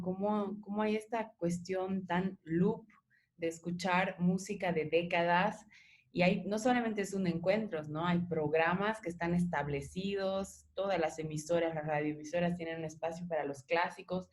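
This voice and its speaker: A woman with a Latin American accent, speaking as an interviewer in an analytical, reflective and conversational way. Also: fairly low pitch.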